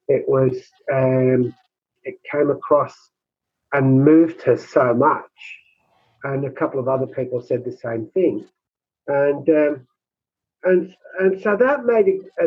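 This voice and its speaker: A man who works as a rural minister, talking in an interview with a high, squeaky voice, halting and restarting often. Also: husky, phone-quality sound. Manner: halting, many restarts and repeated words. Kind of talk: conversational interview.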